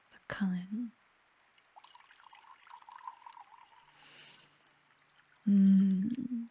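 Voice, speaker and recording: A British woman playing a possessive, seductive vampire mistress in an intimate ASMR roleplay, with a breathy whisper and a languid, condescending, aristocratic way of teasing. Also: medium pitch, mellow, no room sound, full character voice.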